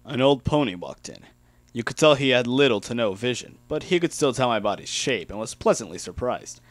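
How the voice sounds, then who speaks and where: A man's voice: mid-range voice, animated, a little fast, dry recording. A man reading first-person fan fiction aloud as an amateur dramatic narrator.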